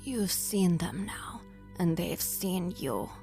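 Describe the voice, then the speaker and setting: A woman voicing an eerie old woman who guards cursed portals, speaking in a slow, hushed, raspy whisper. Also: on the low side, lively intonation, soft background music. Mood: ominous.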